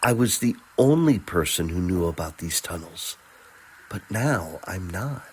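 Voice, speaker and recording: A man voicing a gentle, protective young man who speaks in a reassuring, earnest, casual way in a fantasy audio story. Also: low-pitched, lively intonation, a little slow, slight background noise. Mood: apologetic, reassuring.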